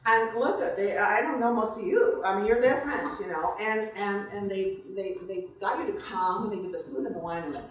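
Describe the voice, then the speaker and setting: A woman author addresses a live gathering in an earnest voice at a medium pitch. She is picked up from a distance in a very echoey room, with faint noise. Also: fairly quick, few pauses. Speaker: author introducing and reading own book. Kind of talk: informal live talk, then slow literary reading. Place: room at a live book reception. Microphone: distant microphone.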